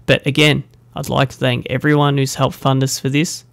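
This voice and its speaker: A young man talks casually straight to the camera, rambling and poking fun at himself. His voice has a gravelly edge. The sound is close and dry, with no room echo. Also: medium pitch, little pitch movement, normal speed, fairly bright tone. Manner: chatty and self-deprecating, with fillers. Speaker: meetup organiser. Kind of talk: casual vlog announcement.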